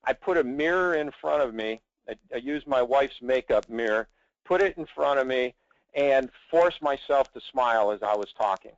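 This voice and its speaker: A man's voice: mid-range voice, animated, moderate pace, dry recording. A middle-aged man, a recruiter, giving conversational career advice in an emphatic, persuasive way. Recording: dull, band-limited audio.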